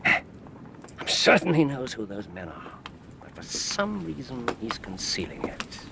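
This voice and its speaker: An actor playing a keen, courteous, self-assured gentleman detective with an English RP accent in a radio drama, precise and theatrical. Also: medium pitch, big pitch swings, a little slow, creaky, a crowd in the background. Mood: confident, analytical. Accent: English, Received Pronunciation. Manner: precise, incisive, theatrical, murmured asides.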